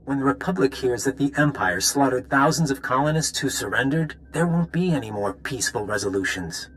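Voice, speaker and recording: A man's voice: mid-range voice, expressive, a little fast, a bit bright, slight background noise, lightly acted, poor audio. A man playing a smug rogue saboteur in a video game, taunting in a casual, mocking manner. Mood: casual, cynical. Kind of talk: scripted video game dialogue.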